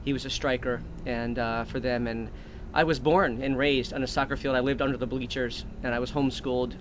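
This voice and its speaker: A man's voice: medium pitch, steady tone, a little fast, noisy background. A man telling an improvised comic tall tale in a rambling, mock-earnest way, often breaking off and restarting. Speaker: comedic storyteller.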